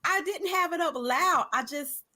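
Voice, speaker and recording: A woman's voice: very high-pitched, moderate pace, pushed and bright, no echo. A woman co-hosting a morning talk show, answering questions in a playful, sing-song way. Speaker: show co-host. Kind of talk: casual banter.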